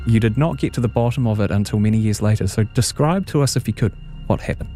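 A man hosting a radio phone-in, playful and jokey with lively reactions. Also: fairly low pitch, quick pace, soft background music. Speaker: radio host. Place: radio studio.

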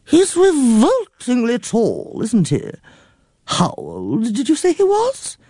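A woman's voice: fairly high pitch, huge pitch swings, slow pace, dark tone, husky, no echo, studio quality, pitched above the performer's own voice, heavily acted. A man voicing a fussy, dramatic elderly aunt who complains bluntly and swears, in a storybook reading. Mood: fretful, tart. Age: older.

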